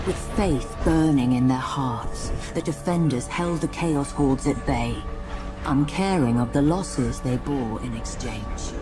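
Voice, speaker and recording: A man plays an ominous chronicler of epic war in a game cinematic. His delivery is grandiose and unhurried, at a middling pitch, with loud music underneath. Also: lightly acted. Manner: grandiose, measured. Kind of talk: dramatic scripted game narration.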